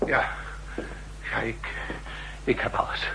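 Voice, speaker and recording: An actor playing a desperate, ailing secret agent in a 1960s radio drama, insistent and halting under pressure. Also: male voice, bright, forceful tone. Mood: weak, faltering. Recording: noisy old 1960s radio recording with hum.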